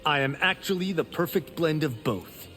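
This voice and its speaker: A man's voice: fairly high pitch, expressive, normal speed, over loud music, natural voice. An actor playing a proud, boastful young male martial arts champion in a video game, with a smug, self-assured, grand delivery. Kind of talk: video game character dialogue.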